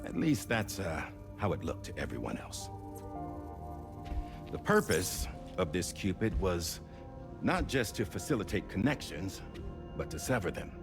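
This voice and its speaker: An actor playing a calm, commanding former director in a video game cutscene, speaking in a measured, theatrical way. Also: male voice, low-pitched, music in the background, full character voice. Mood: grave, explaining. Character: calm, commanding male ex-director revealing a secret plan.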